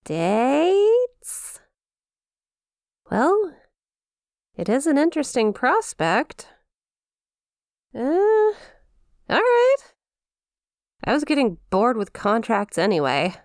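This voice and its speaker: A woman's voice: high voice, wildly swooping pitch, very slow, pauses often, a little mellow, no room sound, clean studio sound, heavily acted. A woman voicing a centuries-old demon imp in an ASMR roleplay, haughty and mock-formal yet awkwardly lovestruck, slipping into hesitant casual speech. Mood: flustered, excited. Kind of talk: ASMR character roleplay addressed to listener.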